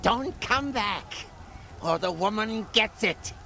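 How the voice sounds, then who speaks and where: A man voicing a scheming, taunting goblin villain with a gravelly voice in a video game, drawing out crude threats. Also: high-pitched, lively intonation, bright, forceful tone, a little hiss or hum, exaggerated voice. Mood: gloating. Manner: taunting, drawn-out threats in broken grammar. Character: scheming male goblin villain, gleefully menacing, crude grammar. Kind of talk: video game cutscene acting.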